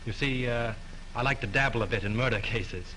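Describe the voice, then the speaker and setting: An actor in a 1930s film playing a breezy, wry scenario writer who dabbles in murder cases. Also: male voice, mid-range voice, a little fast, bright, forceful tone, a little hiss or hum, lightly acted, low-quality recording. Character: wry breezy young male writer sleuth.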